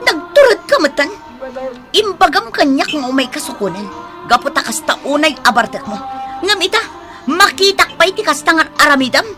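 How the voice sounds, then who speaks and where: Wounded jealous girlfriend, very high young female voice, Ilocano accent, radio drama, prominent music behind. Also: sing-song, normal speed. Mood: angry, hurt. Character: hot-tempered hurt young girlfriend, jealous and confronting.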